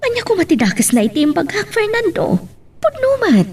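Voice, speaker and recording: A woman playing a middle-aged Filipino mother in a radio-style drama, with a husky voice and an Ilocano accent. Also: extremely high pitch, huge pitch swings, fairly quick, darkish tone, recorded from a distance, heavily acted. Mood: indignant. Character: middle-aged Filipino mother, gentle but easily startled.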